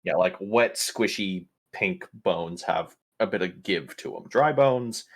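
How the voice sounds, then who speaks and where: A man with a low-pitched voice takes part in a tabletop roleplaying game as a player. He talks casually, with a wry streak and a habit of explaining things. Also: expressive, slow pace.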